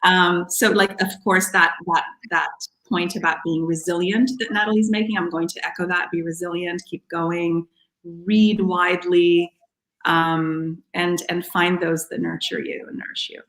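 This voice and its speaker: A woman's voice: lowish voice, fairly even intonation, measured pace, fairly bright tone. A woman from Kuwait, speaking as a poet in an earnest, encouraging way over a video call. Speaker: poet guest. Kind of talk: casual Q&A answers. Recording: echoey room.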